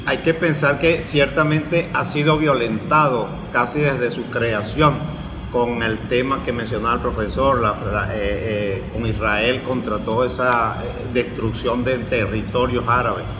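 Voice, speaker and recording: A man's voice: mid-range voice, steady tone, a little slow. A Venezuelan man, a theatre professor, sharing his opinion in a panel interview. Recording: very echoey hall with loud noise.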